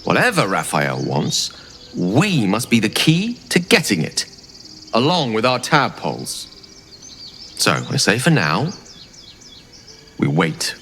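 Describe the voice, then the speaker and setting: A man's voice: lowish voice, wildly swooping pitch, slow, pauses often, a bit bright, in a large hall, slight background noise, exaggerated voice. A man playing a shrewd, scholarly wizard type in a fantasy video game, speaking with erudite, theatrical deliberation. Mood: shrewd deduction. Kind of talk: video game character dialogue.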